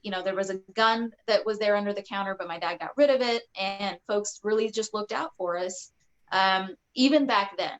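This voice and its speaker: A woman's voice: medium pitch, fairly bright tone, rough recording. A woman in her forties from Kentucky, a state representative, speaking earnestly on a video-call panel with frequent restarts. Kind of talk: panel discussion.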